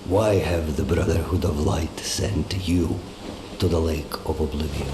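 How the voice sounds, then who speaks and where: Very deep, echoing ancient guardian spirit. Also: male voice, steady tone, a little slow. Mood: stern, solemn. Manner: stern, questioning, weighty. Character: ancient male guardian spirit of a lake, solemn, imposing. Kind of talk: dramatic video game acting. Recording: echoing voice over clear background noise.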